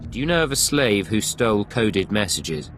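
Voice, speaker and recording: A deep-voiced, stern imperial agent. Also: male voice, big pitch swings, moderate pace. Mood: businesslike. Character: stern male imperial intelligence agent, coolly judgmental. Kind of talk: video game dialogue acting. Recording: music behind the voice.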